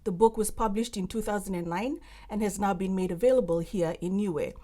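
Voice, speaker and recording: A woman reporter reading a scripted news voice-over with steady, careful narration. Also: mid-range voice, brisk.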